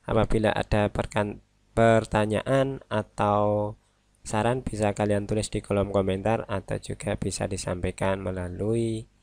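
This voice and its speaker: A male religious studies teacher, giving a recorded online lesson. He explains step by step, often pausing on "nah" and "eh" and correcting himself as he goes. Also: fairly low pitch, little pitch movement, vocal fry, darkish tone, no echo.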